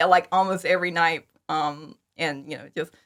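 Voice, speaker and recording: A woman's voice: deep voice, steady tone, moderate pace, fairly bright tone. A woman talking casually and informally as an interview guest, chatty and prone to acting out her own remarks. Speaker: podcast guest, fan audio drama creator.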